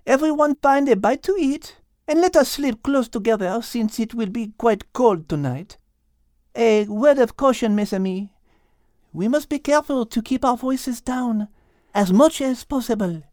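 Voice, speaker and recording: Elegant high-pitched French lady cat. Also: expressive, unhurried, mellow, no room sound, clean studio sound. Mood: cautioning. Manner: precise, enthusiastic explaining. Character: elegant female French cat, clever, botany-loving teacher. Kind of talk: children's audiobook character voice.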